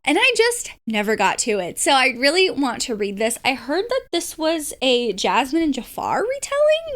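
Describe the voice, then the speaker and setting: A woman's voice: on the high side, a bit bright. A woman chatting casually about books in an informal, enthusiastic way, like a book vlogger. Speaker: book vlogger and readalong host.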